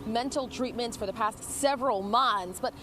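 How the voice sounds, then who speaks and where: A woman reports live from the field for a morning newscast, keeping her delivery measured. Her voice is fairly high, with faint background hiss.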